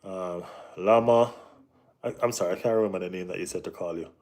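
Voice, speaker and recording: A man's voice: deep voice, brisk, darkish tone. A Jamaican man hosting a casual football live stream, reading and answering chat, often saying "you know what I mean".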